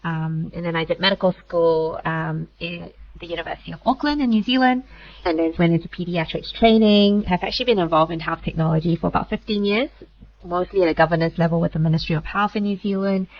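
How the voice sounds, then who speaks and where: Lowish-voiced woman from malaysia, chatty and quick with lots of "like", kinda muffled lo-fi sound. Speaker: founder guest. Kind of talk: casual interview talk.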